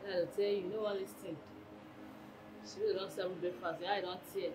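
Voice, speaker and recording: Actress as blunt gossip, Nigerian Pidgin accent, grumbling, mid pitch, noisy backdrop. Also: female voice, flat delivery, moderate pace, natural voice. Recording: noisy background.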